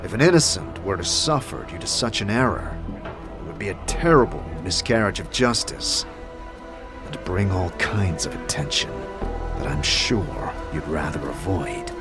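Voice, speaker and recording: A man playing a compassionate, hesitant adventurer, speaking earnestly and haltingly in a fantasy audio drama. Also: low-pitched, animated. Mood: firm, measured. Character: hesitant, compassionate male adventurer and second-person narrator. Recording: loud music behind the voice. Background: music.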